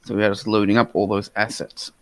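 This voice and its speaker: A man's voice: on the low side, little pitch movement, fast. Aussie guy casting a match live, chatty and upbeat, headset mic. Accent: Australian. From Australia. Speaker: esports caster. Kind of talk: live game commentary.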